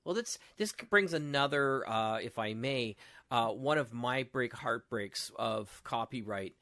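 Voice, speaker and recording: A man's voice: medium pitch, measured pace, pushed and bright. Earnest man chatting on a podcast. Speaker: podcast co-host. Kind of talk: casual podcast discussion.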